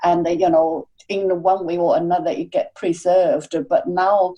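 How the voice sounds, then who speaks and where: A woman speaks as a podcast guest, her mellow, fairly low voice moving slowly through her thoughts. She pauses often and fills the gaps with many fillers. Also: level tone, poor audio. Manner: halting, many fillers, reflective. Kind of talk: casual interview conversation.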